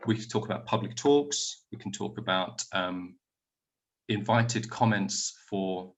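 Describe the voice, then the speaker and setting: A man's voice: on the low side, unhurried, a little hiss or hum. A man, from the UK, giving an informal online conference talk about language teaching, full of restarts and fillers. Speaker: conference plenary speaker.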